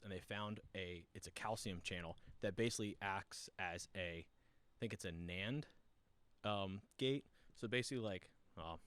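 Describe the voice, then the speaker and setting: A man's voice: on the low side, no room sound. A young man hosting a podcast, chatting casually, rambling through explanations and laughing often. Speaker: podcast host. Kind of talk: casual chat.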